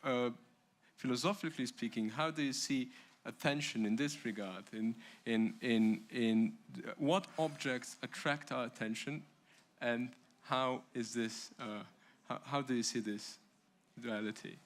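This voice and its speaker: A man's voice: mid-range voice, unhurried. A man moderating a panel, putting unscripted questions hesitantly and restarting them several times.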